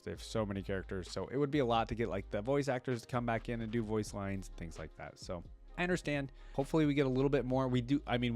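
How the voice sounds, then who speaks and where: A man's voice: lowish voice, darkish tone. A man hosting a reaction video, giving chatty, rambling commentary on game voice lines in a casual way. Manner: chatty, rambling, thinking aloud.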